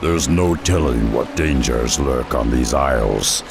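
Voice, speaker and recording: An actor playing a huge, wary beast-man warrior in a fantasy game, with a growling, gravelly voice and a blunt, stern manner. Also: extremely low pitch, unhurried. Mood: wary, grim. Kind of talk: fantasy game character acting. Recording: music under the voice.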